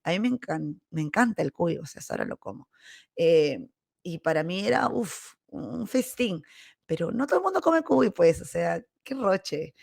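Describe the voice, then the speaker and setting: A Peruvian woman with a Peruvian accent hosting a podcast, telling personal stories in a casual, candid and animated way. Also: fairly low pitch, moderate pace, creaky, no echo, clean studio sound. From Peru. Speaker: podcast host. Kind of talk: casual autobiographical storytelling monologue.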